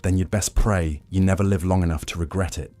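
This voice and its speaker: A man voicing a grizzled, world-weary old pirate with a rough, raspy voice, telling grim tales in sailor dialect for a story. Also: low voice, fairly even intonation, fairly quick, warm tone, soft background music, close to an everyday voice. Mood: somber warning.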